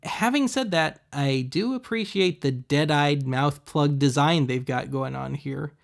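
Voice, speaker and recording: Male host, somewhat higher-pitched with plenty of vocal fry, sardonic, casual voice-over commentary. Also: a little slow. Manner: wry, sarcastic. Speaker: host of a thrift-shopping video series.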